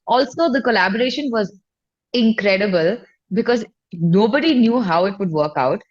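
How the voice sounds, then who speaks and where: A woman's voice: medium pitch, expressive, normal speed. A woman chatting casually and candidly as an interview guest, telling stories informally. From India. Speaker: singer-songwriter guest. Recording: dull lo-fi audio.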